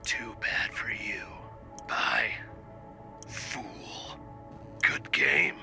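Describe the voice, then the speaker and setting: Whispering, battle-worn soldier. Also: male voice, lowish voice, expressive, soft background music, exaggerated voice. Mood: weary taunting. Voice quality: breathy, whispered, quiet. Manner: slow, weary delivery. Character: battle-worn, weary male soldier, taunting. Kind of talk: video game voice pack lines.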